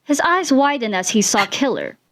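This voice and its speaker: A woman reading fan fiction aloud as an expressive narrator, with music behind her. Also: fairly high pitch, moderate pace.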